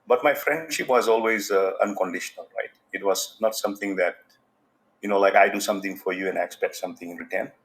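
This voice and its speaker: Very deep-voiced man from Fiji. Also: quick pace, fairly bright tone, rough recording. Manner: rambling, many fillers like "you know". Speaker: podcast guest. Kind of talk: casual interview talk.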